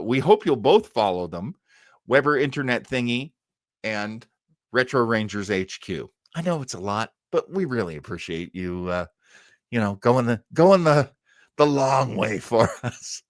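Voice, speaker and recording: A man's voice: medium pitch, no echo, studio quality. A man hosting a livestream in a casual, rambling, jokey way, often interrupting himself. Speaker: livestream show host.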